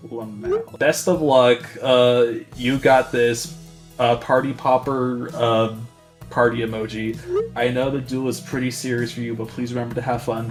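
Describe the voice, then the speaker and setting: A man playing a tabletop roleplaying game, narrating his character's actions in a casual, improvised way. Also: mid-range voice.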